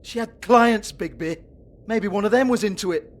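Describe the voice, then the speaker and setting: A man's voice: high-pitched, normal speed. A man playing a foul-mouthed, shifty club boss in a video game, with a British accent and a sarcastic, taunting manner. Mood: scornful, defensive. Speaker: game character, shady club owner.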